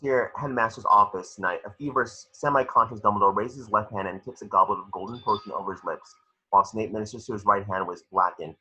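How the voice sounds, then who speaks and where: A man's voice: fairly low pitch, quick pace, pushed and bright, slightly rough, highs cut off. A man reading scripted screenplay stage directions as narrator of a table read.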